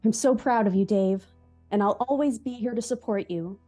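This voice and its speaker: A woman's voice: mid-range voice, moderate pace, warm tone, soft background music, lightly acted. A woman playing a worried, supportive wife, speaking earnestly and tenderly in a script read. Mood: proud, supportive. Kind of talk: live table read of a movie script.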